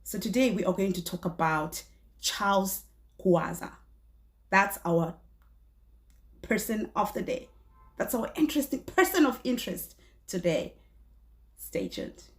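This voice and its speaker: Woman, slightly hoarse, 30s, chatty true-crime YouTuber, lots of fillers and restarts, small room, close camera mic. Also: medium pitch, animated, a little slow, pauses often. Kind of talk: casual storytelling to camera.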